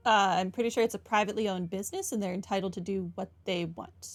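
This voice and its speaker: Girl doing a let's play, chatty and opinionated, medium pitch, bit of game music under her. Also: fairly even intonation, fast talker. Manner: musing, conversational, opinionated. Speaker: gaming host, player. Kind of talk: casual playthrough commentary.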